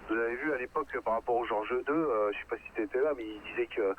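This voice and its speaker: A man's voice: medium pitch, fast. A man chatting informally about technical matters in colloquial speech. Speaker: radio hobbyist taking part in a group conversation. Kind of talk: casual technical chat. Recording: tinny radio-like line with background noise.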